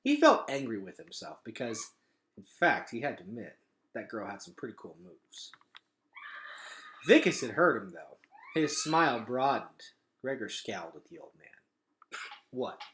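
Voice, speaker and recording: A man reading a children's novel aloud as narrator, in an expressive storytelling style. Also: medium pitch, measured pace, long pauses, reverberant, a little hiss or hum. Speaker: read-aloud reader.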